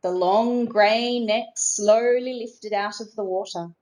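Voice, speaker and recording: A woman narrating a children's storybook expressively, in a measured way with dramatic emphasis. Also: medium pitch, steady tone, darkish tone. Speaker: storybook reader.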